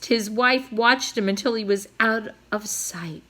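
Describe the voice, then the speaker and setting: A woman's voice: a little high, unhurried, sharp tone. A woman reading a storybook aloud to children as their teacher, addressing them directly.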